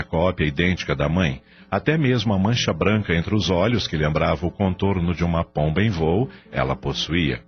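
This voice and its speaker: A man with a Brazilian accent narrating a scripted radio drama in a solemn, expressive storytelling manner. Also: low-pitched. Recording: dull radio recording with music bed.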